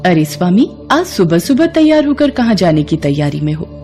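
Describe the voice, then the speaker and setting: A woman's voice: on the low side, sing-song, moderate pace, mellow, faint music behind. A woman voicing a devoted, sweet and eager goddess wife in a devotional story, respectful in manner. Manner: respectful, pleading, wonder-struck. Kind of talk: devotional story dramatization in Hindi.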